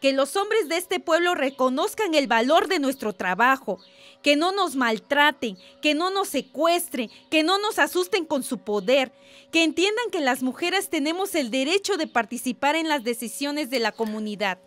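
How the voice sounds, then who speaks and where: An actress playing a resolute, dignified village wife, firm and assertive, in a radio drama. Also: female voice, high-pitched, lively intonation, moderate pace, fairly bright tone.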